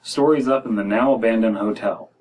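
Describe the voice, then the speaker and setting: An American man in his thirties hosts a casual story-reading podcast, reading haltingly and breaking off for joking asides. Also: lowish voice, darkish tone. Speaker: podcast host reading stories aloud. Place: small room at home, indoors. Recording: distant mic in a room.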